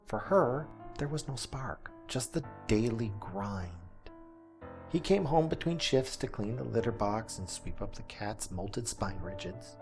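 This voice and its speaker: A man playing an earnest small-town radio host, delivering a scripted monologue deliberately, with dramatic pauses and emphasis. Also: on the low side, expressive, a little mellow, faint music behind. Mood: somber storytelling.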